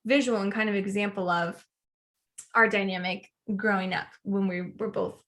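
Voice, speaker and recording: A woman hosting a podcast, telling personal stories in a casual, animated way with emphatic phrasing. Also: mid-range voice, moderate pace. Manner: animated, acts out quoted lines, emphatic repetition. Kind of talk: casual conversational storytelling.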